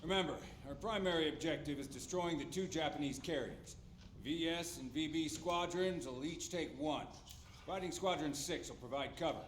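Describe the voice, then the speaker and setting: A man's voice: on the high side, moderate pace, reverberant, a little hiss or hum, natural voice. A man acting as a commanding naval officer delivering a military briefing in a video game. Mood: firm, rousing.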